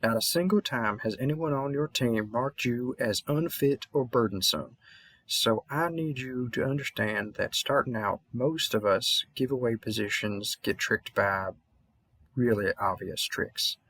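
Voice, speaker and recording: A man's voice: mid-range voice, unhurried, fairly bright tone, no room sound. A man playing an experienced, kindly vampire hunter mentor in an intimate audio roleplay, rambling and slightly mumbling in a Southern accent. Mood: earnest, reassuring.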